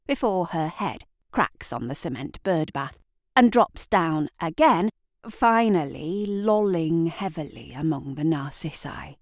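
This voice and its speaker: A woman narrating a scripted literary story in a measured, theatrical storytelling manner. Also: low-pitched, darkish tone, no echo, studio quality. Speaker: author and narrator.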